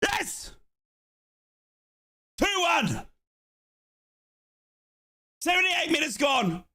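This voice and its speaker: A man hosting a live fan watchalong, calling a football match in excitable play-by-play and chanting. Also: very high-pitched, drawn-out words, raised voice. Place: home office.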